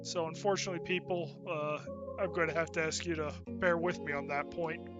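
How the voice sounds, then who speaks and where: A man's voice: on the high side, fairly even intonation, brisk, muffled recording, music in the background. A man giving casual, unscripted game commentary as a streamer, rambling and thinking aloud as he goes.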